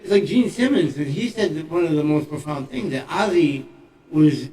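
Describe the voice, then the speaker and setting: A man's voice: fairly high pitch, lively intonation, normal speed, mellow, far from the mic, a little hiss or hum. An older man co-hosting a podcast, chatting casually and agreeably with friends. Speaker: podcast co-host. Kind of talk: casual chat. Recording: echoey room, away from mic.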